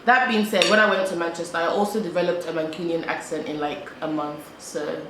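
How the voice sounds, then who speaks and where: A woman's voice: lowish voice, fairly even intonation, brisk. Young British woman, casual vlogger, roomy echo, faint hum behind. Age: young adult. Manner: chatty, repeats herself, frequent "like". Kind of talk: casual chat to camera while eating. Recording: echoey room with faint background hum.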